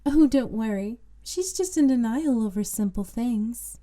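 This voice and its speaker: Woman playing smirky teasing student girl, highish voice, super mellow and dark tone, audio drama. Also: expressive, a little slow.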